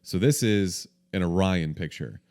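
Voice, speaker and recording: A young man hosting a casual movie podcast, brisk and a bit sweary, running the segments. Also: deep voice, a little mellow. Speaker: podcast host.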